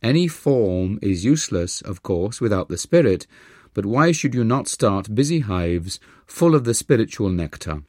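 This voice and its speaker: Man acting stern old-world guru, audiobook, low pitch, grave, deliberate, admonishing, dry sound. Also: very animated, a little mellow, dry recording. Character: stern, wise Indian male guru teaching his disciple.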